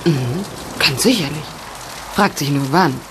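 A woman's voice: deep voice, unhurried, noisy background. An actress playing a teasing, cheeky young woman in a German radio play. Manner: teasing, playful, pert. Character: teasing, cheeky young female companion of a reporter.

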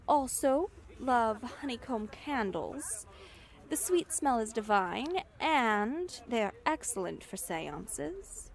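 A woman playing a high-voiced, unhurried confident young witch. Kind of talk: ASMR roleplay acting.

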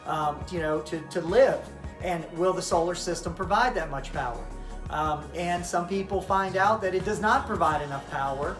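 Relaxed man in a roomy build site. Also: fairly high pitch. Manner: relaxed, informal, frequent um and you know. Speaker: tiny house builder. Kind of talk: casual explainer to camera. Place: framed interior of an unfinished tiny house, indoors. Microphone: camera mic at a few meters. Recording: roomy echo, faint music.

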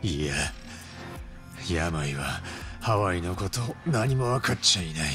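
A man playing a grave, composed middle-aged crime boss in a Japanese video game cutscene, speaking in a measured, solemn way. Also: deep voice, lively intonation, music in the background.

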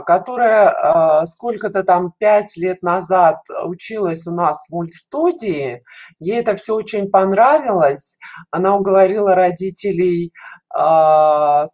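Halting woman in a video call interview. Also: on the low side, a little mellow. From Russia. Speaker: guest, children's animation studio educator. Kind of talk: conversational explanation in an online interview. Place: indoor room, video call. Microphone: headset.

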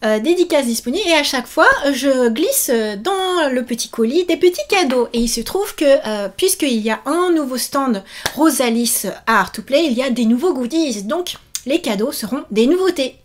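A woman in her thirties chatting casually to camera in an animated, exclamatory and enthusiastic manner. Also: high-pitched, normal speed. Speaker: author and YouTuber promoting her book. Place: small indoor room set up for filming.

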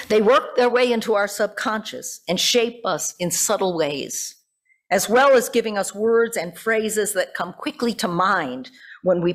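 An older woman preaching as a pastor, reading from notes in deliberate phrases and breaking off for informal, self-correcting asides. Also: fairly low pitch. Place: church sanctuary at a pulpit. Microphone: gooseneck pulpit microphone.

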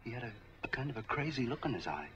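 An actor playing an eager, friendly street peddler in a 1940s film, chatty, slangy and obliging. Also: male voice, medium pitch, big pitch swings, fast, fairly bright tone, lo-fi sound. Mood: urgent, confiding. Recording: faintly noisy 1940s film soundtrack.

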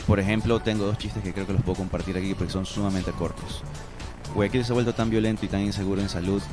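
Man, Ecuadorian accent, quick pace, lowish voice, casual radio guest, music behind. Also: steady tone. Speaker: guest, amateur stand-up comedian. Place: small indoor radio studio. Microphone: studio microphone up close.

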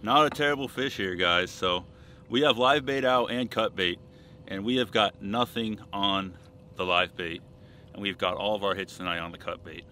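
A man's voice: lowish voice, normal speed, sharp tone, slight background noise. A young man from Florida vlogging casually and upbeat to the camera from a small fishing boat out on the water. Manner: upbeat, talks straight to camera. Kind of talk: casual outdoor vlog. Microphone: chest-mounted action camera.

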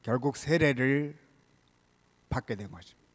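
An older man preaching a prepared sermon as a pastor, in a measured, solemn way, from a church pulpit microphone. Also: mid-range voice. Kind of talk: sermon, read from prepared text. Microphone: gooseneck pulpit microphone. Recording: reverberant church hall sound.

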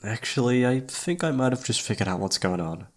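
A man's voice: medium pitch, lively intonation, brisk, lightly acted. A man playing a shy, flustered young incubus roommate in an ASMR roleplay, hesitant and awkward, often trailing off. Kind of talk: ASMR roleplay audio drama.

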